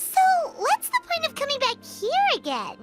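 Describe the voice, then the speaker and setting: A woman voicing a tiny, excitable, childlike fairy companion in an anime-style video game, in a cutesy, exclamatory manner. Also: very high voice, moderate pace, soft background music, heavily acted. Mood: excitable, curious. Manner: third-person self-reference, exclamatory, cutesy.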